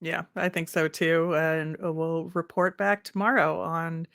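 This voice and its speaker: A woman's voice: low-pitched, level tone, a little slow, vocal fry, fairly bright tone, dry recording. Woman co-hosting sports talk, dry close sound. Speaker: sports podcast co-host. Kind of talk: casual hockey recap chat.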